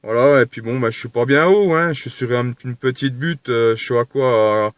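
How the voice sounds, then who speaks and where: A man making casual conversation as a radio operator, halting and repetitive, often ending phrases with little tags. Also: medium pitch, no echo. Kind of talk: casual radio chat with signal reports.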